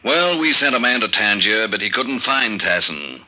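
A very sharp, forceful, fairly low voice plays a commissioner in an old radio thriller. He is brisk, authoritative and strictly matter-of-fact, a male boss giving orders. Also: sing-song, full character voice, poor audio. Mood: urgent, businesslike. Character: businesslike male government commissioner briefing his agent. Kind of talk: 1950s radio drama acting.

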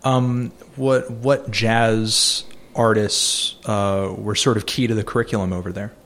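A man's voice: fairly low pitch, flat delivery, measured pace, fairly bright tone. A man hosting a podcast, asking questions hesitantly with many repeats and fillers. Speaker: podcast host, interviewer. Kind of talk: casual interview conversation.

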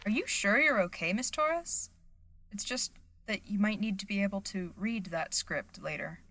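A woman's voice: medium pitch, animated, normal speed, pushed and bright, a little hiss or hum. A woman playing an eager, awkward young acting student in an audio drama, chatty and a little hesitant. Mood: concerned.

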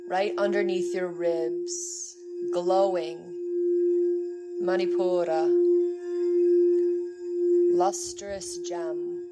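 A woman guiding a meditation in a soothing manner, with long pauses between phrases. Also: lowish voice, big pitch swings. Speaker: meditation guide. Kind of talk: guided meditation. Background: loud singing bowl music.

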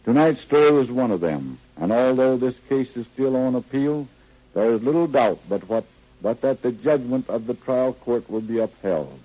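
A man, a county sheriff, reading a formal scripted foreword stiffly, halting over words. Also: mid-range voice, expressive, breathy edge. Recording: tinny 1930s radio recording.

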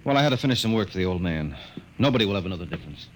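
A man's voice: low-pitched, expressive, slight background noise, rough recording. An actor playing an offhand army colonel father in an old radio drama. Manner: offhand, hurried. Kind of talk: 1950s radio drama acting.

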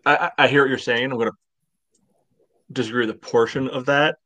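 Blunt man, bright dry-recorded voice. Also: medium pitch, steady tone, a little fast, no room sound. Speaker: sports podcast co-host. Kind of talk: casual soccer match analysis.

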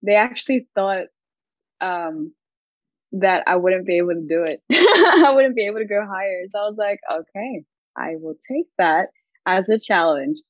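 A woman's voice: mid-range voice, expressive, moderate pace, no echo, muffled recording. A young woman with an Australian accent, a singer chatting in an interview, bubbly and rambling with lots of giggles.

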